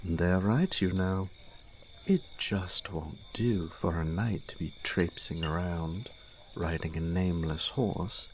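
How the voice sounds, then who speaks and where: Man acting a suave, low-voiced playful young noble. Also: slow pace, background noise. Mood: amused, approving. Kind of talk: fantasy audio drama acting.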